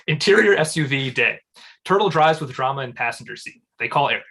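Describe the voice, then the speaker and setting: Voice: medium pitch, lively intonation, brisk, bright, forceful tone. A narrator reading scripted stage directions aloud at a live comedy table read.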